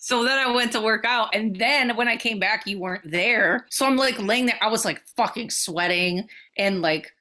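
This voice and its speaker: A woman's voice: fairly high pitch, normal speed, few pauses, pushed and bright. Giggly, foul-mouthed woman podcaster. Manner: loose, profane and giggly. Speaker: podcast co-host. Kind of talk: casual banter.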